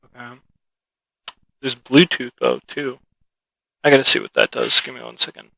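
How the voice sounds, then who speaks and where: A man's voice: medium pitch, huge pitch swings, normal speed, vocal fry, pushed and bright, dry recording, rough recording. A man casually rambling through a review of a cheap audio mixer, thinking aloud as he goes, speaking into a cheap microphone. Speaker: product reviewer. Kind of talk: casual unscripted product review. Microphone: cheap mixer-connected microphone.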